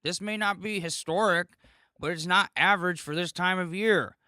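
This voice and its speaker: A high, bright, scolding internet commenter, put on by a man for a comic podcast skit. The delivery is exaggerated and sarcastic, as in a petty online squabble, and the sound is dry. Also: normal speed, no room sound.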